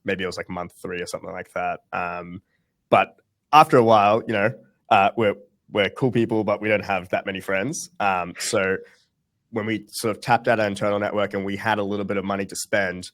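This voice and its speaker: A man's voice: fairly low pitch, fairly even intonation, brisk, fairly bright tone, no echo, clean studio sound. A young man in a webinar interview, talking casually with lots of ums and restarts. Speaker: guest founder.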